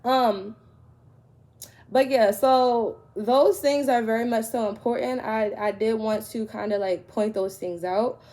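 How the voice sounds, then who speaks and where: Stuffy-nosed woman tarot reader, mellow voice, chatty spiritual pep talk. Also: fairly high pitch, a little slow. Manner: conversational, frequent "right?" and "like". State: congested from a cold. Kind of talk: spiritual advice monologue.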